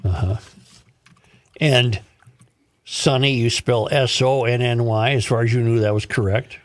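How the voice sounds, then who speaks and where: A man hosting a radio show, reading aloud and chatting in a deliberate, picky way, spelling things out. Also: mid-range voice, fairly even intonation.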